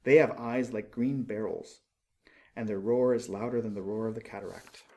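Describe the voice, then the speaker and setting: A man's voice: lowish voice, moderate pace, gravelly, darkish tone. A man voicing a small, kind-hearted male swallow in a storybook, earnest and polite. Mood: dreamy, excited.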